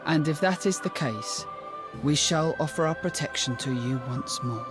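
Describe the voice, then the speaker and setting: A man's voice: on the high side, moderate pace, music in the background, close to an everyday voice. A man playing an urbane, worldly warrior spirit in a fantasy video game, genteel, articulate and musing.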